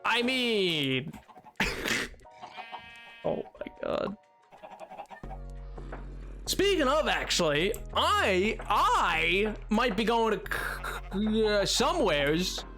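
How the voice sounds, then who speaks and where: A man streaming a game and chatting casually with his viewers, excitable and rambling, with many restarts and sudden yelps. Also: high voice, drawn-out words, long pauses, faint music behind. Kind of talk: casual live-stream chat.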